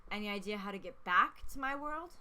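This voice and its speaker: A woman's voice: mid-range voice, animated, a little fast, sharp tone. A woman hosting a let's play with casual reactions and read-out dialogue, sassy and slangy. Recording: faint background noise and ambience.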